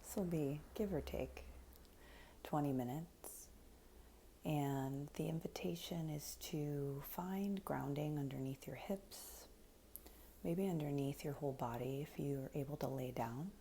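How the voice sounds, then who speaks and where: A woman leading a guided meditation in a soft, breathy, unhurried voice that often nears a whisper, giving gentle, soothing instructions. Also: low-pitched, slight background noise.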